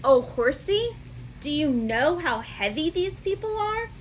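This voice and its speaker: A stubborn young storybook donkey, as a woman reader puts it on, grumbling with theatrical indignation in a fairly high voice. The delivery is unhurried, and there is faint noise behind it. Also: sing-song, poor audio. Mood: indignant. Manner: indignant, exaggerated storybook complaining. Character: stubborn, huffy young donkey telling his side. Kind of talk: children's storybook read-aloud.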